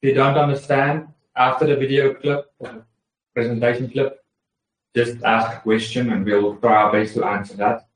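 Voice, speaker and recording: A man's voice: mid-range voice, little pitch movement, normal speed. Man, South African accent, Bible study presenter, plainspoken, echoey room, away from the mic. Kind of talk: casual welcome on an online call. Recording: roomy sound, distant from the mic.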